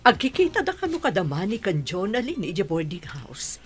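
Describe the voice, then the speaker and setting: A woman's voice: medium pitch, sing-song, normal speed, faint music behind, natural voice. A woman playing a worried, anxious mother with an Ilocano accent in a radio drama. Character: worried mother anxious about her adopted child's future.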